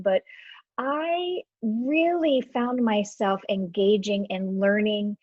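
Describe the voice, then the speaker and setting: A woman's voice: fairly low pitch, a little mellow, no room sound. Woman presenter, Ohio-raised, casual and self-deprecating; dry, slightly muffled video-call sound. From Ohio, USA. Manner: chatty and self-deprecating, laughs often, many fillers. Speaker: education professor presenting a webinar. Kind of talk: live webinar presentation. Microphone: computer mic on a video call.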